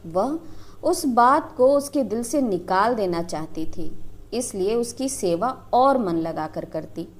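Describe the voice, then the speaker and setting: A woman storyteller narrating a scripted story in a dramatic, expressive manner. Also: a little high.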